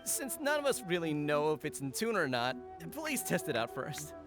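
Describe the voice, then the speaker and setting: A man's voice: a little high, huge pitch swings, moderate pace, over music. An actor playing an eager, earnest young male gang underling who greets festival visitors in a video game. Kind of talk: video game character acting.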